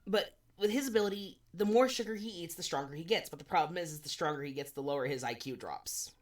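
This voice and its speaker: A woman in her twenties co-hosting a podcast, chatting casually with animated, swearing asides. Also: low-pitched, quick pace. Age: 20s. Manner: animated, swearing, self-deprecating. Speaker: podcast co-host. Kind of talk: casual chat.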